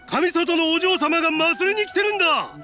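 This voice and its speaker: An actor playing a hearty middle-aged festival vendor in a video game, with rough, colloquial speech. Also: male voice, very high-pitched, moderate pace, sharp tone. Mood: rousing shout. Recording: background game music.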